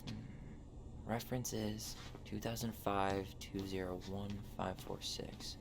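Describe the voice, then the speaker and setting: A man's voice: fairly low pitch, fairly even intonation, takes it slowly, vocal fry. Angry young American guy, noisy capture. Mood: muttering, focused. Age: young adult. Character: angry, wounded young man confronting his uncle. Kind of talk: naturalistic video game drama acting.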